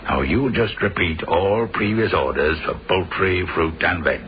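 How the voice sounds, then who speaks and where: A man's voice: sits low, lively intonation, measured pace. An actor playing a genial, nosy, worldly businessman who teases his companion, in a radio drama. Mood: genial, teasing. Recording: dull, muffled radio drama recording.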